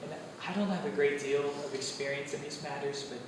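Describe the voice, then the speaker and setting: A man's voice: a little high, fairly even intonation, brisk, natural voice. An actor playing an awkward man in love who stammers and hesitates, heard distant in a roomy echo with background noise. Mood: tender. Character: awkward man in love, writer of her program. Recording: distant, echoey, noisy.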